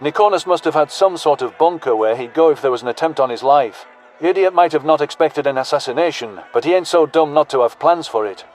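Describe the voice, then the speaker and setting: A man's voice: fairly high pitch, expressive, quick pace, a little mellow, soft background music, lightly acted. A man playing a brash, pragmatic human soldier who leads with blunt, slangy, folksy talk. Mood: brash, practical.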